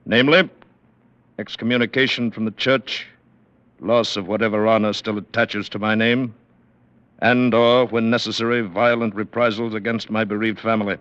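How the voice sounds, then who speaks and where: Low-voiced, imperious club president, acted by a man. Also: fairly bright tone, lightly acted. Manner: formal, measured, imperious. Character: stern, formal club president, coolly menacing gentleman. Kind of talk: vintage radio drama acting. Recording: dull, lo-fi vintage radio recording.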